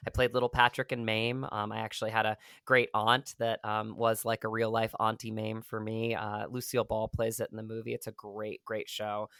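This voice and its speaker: A man with a low voice speaks as a guest on an interview show, recorded close and dry. He talks reflectively, often stalling and restarting mid-phrase. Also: completely flat delivery, gravelly, fairly bright tone, clear, resonant tone, dry recording. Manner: halting, searching for words. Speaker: actor guest. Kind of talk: casual interview.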